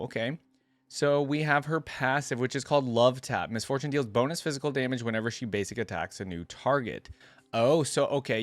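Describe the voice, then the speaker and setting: A man's voice: medium pitch, no room sound. A man hosting a reaction video, chatting casually as he comments on game lore and abilities.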